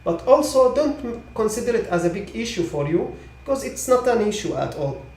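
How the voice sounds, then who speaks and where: A man who is a non-native English speaker giving live technical training, repeating his points reassuringly and often checking with a quick okay. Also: high voice, big pitch swings, a little mellow. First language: not English. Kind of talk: live online product training. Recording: echoey room, distant microphone.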